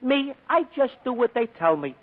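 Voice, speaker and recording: An actor playing a henpecked, compliant husband in a 1940s radio comedy bit. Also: male voice, extremely high pitch, very animated, moderate pace. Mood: meek, resigned. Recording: muffled, noisy vintage radio.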